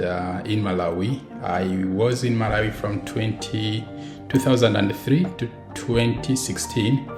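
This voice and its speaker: A man from Rwanda, a former refugee who now runs refugee support initiatives, speaking in a measured, reflective way with frequent pauses on "uh". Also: fairly low pitch. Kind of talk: recorded interview testimony. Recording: roomy recording with music underneath.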